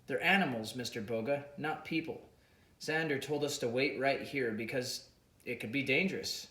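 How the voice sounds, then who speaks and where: A man's voice: medium pitch, moderate pace, sharp tone, far from the mic, lightly acted. An irritable professor, as a man reads him out, firm and defensive in his replies. The storybook narration sounds roomy and echoey. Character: irritable older male professor.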